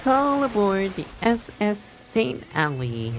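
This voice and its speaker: Lively, teasing young love interest. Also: female voice, mid-range voice, slow, noisy background. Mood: proud, excited. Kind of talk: visual novel game acting.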